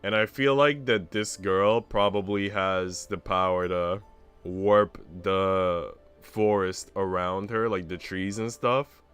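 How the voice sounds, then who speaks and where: A man's voice: sits low, steady tone, takes it slowly, a bit bright, clean tone, soft background music. A man streaming a visual novel, reading its narration aloud expressively with casual commentary.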